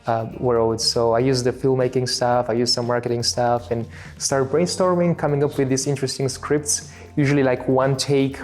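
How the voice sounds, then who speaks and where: Bulgarian-accented man, halting and chatty. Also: medium pitch, little pitch movement, faint music behind. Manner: halting, many fillers and restarts. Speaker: interview guest, filmmaker. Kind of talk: casual interview talk.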